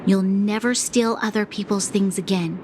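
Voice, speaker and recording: A woman's voice: medium pitch, fairly even intonation, lightly acted. A woman playing a young, principled guard commander in a video game cutscene, speaking formally and earnestly, with compassion. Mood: kind, firm. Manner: formal, earnest, measured phrasing. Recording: clearly noisy game ambience behind voice.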